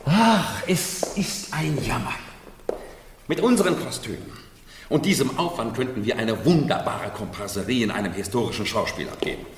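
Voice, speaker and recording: A man's voice: medium pitch, animated, moderate pace, slight background noise, lightly acted. A man acting a world-weary hired retainer in a 1970s German radio play, declaiming and explaining theatrically. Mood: lamenting. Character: world-weary hired retainer in a madman's mock imperial court. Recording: reverberant hall, 1970s radio production.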